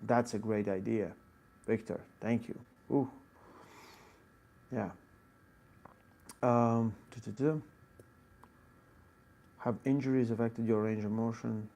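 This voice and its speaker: Gravelly Latvian-accented man coaching. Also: fairly low pitch, steady tone, a little slow, pauses often, dark tone. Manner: explains technique in short bursts, reads chat questions aloud. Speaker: arm wrestling coach. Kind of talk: live Q&A answering viewer chat.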